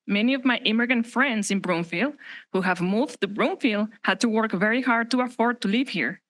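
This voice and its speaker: A woman's voice: on the high side, normal speed. A woman from Mexico with a Mexican Spanish accent, her first language Spanish, reading a prepared public comment at a council chamber podium microphone, earnest with some slips and restarts.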